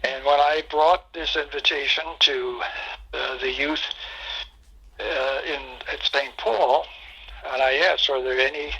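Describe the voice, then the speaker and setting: Older male pastor, 80s; bright but breathy timbre, reflective oral-history delivery, halting. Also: mid-range voice, steady tone, muffled recording. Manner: halting, reflective, many fillers.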